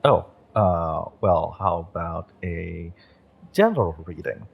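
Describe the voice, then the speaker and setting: A man acting a gentle, courteous witch who runs a magick shop and reads tarot, speaking politely and a little hesitantly in a roleplay audio. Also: sits low, lively intonation, slow, gravelly, slightly rough, phone-quality sound, exaggerated voice. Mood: attentive, slightly shy. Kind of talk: roleplay audio, acted monologue to the listener.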